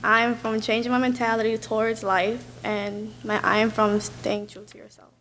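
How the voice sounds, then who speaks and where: A woman's voice: fairly high pitch, level tone, moderate pace, background noise. A young woman from Brooklyn, a student reciting a personal spoken word poem. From Brooklyn, New York. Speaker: student performer.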